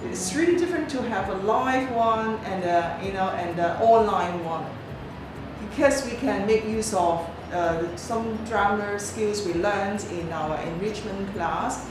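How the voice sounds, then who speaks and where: A woman giving interview answers as a drama teacher, speaking haltingly and repeating words. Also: mid-range voice, over music. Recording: distant, echoey, noisy room recording.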